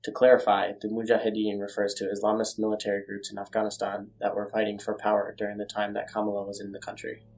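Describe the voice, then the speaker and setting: Male reporter, low voice, measured scripted narration. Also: completely flat delivery, a little mellow, rough recording.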